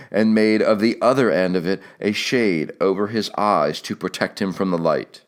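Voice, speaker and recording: A man narrating a literary audiobook in a measured, dramatic storytelling manner. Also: fairly low pitch, clear, resonant tone.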